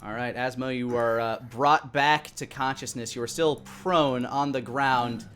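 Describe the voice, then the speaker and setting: A man's voice: medium pitch, fairly even intonation, unhurried, fairly bright tone. A man in his thirties narrating and making rulings as game master on a live tabletop game stream. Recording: background music behind the voice. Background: music.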